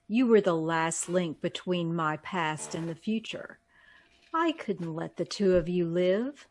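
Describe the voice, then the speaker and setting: A woman playing a cold, scheming murderess in an old-time radio drama, speaking with calm, condescending menace. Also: lowish voice, exaggerated voice.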